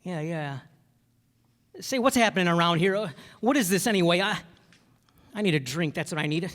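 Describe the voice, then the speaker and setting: A man playing a despairing, skeptical small-town family man in a radio drama, with halting, folksy, repetitive speech. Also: high voice, lively intonation, fairly bright tone. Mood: bewildered, flustered. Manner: halting, stammering drawl, folksy, repeats himself. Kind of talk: old-time radio drama acting. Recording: echoey stage hall, long reverb.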